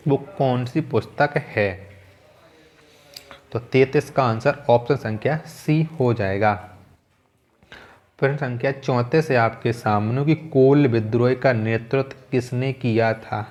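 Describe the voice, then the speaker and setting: A man's voice: medium pitch, a little mellow. A man teaching an exam quiz lesson, methodically reading out questions and repeating key answers.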